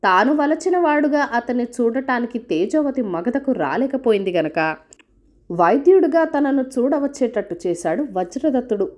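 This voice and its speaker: A woman narrates a folk tale from a script. Her voice sits fairly high, and her intonation moves with lots of variety as she tells the story. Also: lively intonation, normal speed, a little mellow, dull audio.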